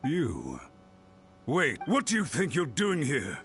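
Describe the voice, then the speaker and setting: A high-strung, wildly animated retainer. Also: male voice, slow pace, a little hiss or hum, heavily acted. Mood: alarmed, suspicious. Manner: fussy, protective, agitated. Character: anxious, suspicious male retainer guarding a noble lady. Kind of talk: anime game voice acting. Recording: game music behind.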